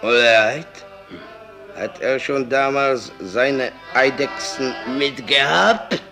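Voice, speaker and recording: Slow-talking, hard-drinking yarn-spinning sailor. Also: male voice, medium pitch, sharp tone, soft background music. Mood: indignant, uneasy. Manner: theatrical, colloquial storytelling. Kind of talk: radio drama acting.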